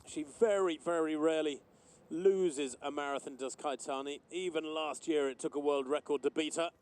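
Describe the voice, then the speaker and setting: A man giving live commentary on a half marathon, measured and knowledgeable, pausing often. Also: a little high, steady tone, darkish tone, clean tone. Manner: knowledgeable, measured, with frequent uh pauses. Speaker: race commentator.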